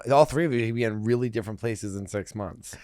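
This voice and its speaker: A man's voice: on the low side, quick pace, a little mellow, no room sound, clean studio sound. Teasing male podcast co-host. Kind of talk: casual comedy podcast banter.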